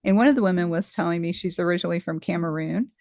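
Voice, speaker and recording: Gravelly-voiced woman hosting a conversation. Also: on the low side, rapid-fire, darkish tone, no room sound. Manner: thoughtful and rambling, many restarts. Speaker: podcast host interviewing a guest. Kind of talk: casual conversational interview.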